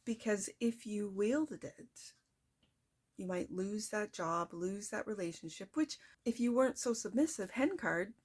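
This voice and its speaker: A soft-spoken woman hosting a casual tarot reading, talking straight to her viewers in a chatty, reflective way. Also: medium pitch, animated, a little slow. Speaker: tarot reader.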